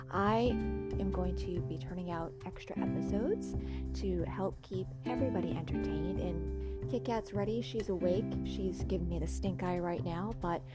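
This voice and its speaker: Chatty, encouraging American woman podcast host. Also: mid-range voice. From United States. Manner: upbeat, encouraging, informal.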